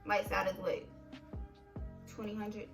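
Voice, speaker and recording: A young woman co-hosting a vlog, telling army stories in an animated, profane, laughing way. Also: medium pitch, a little slow, vocal fry, roomy sound, distant mic, music in the background. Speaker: vlog co-host, army veteran. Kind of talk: casual storytelling chat.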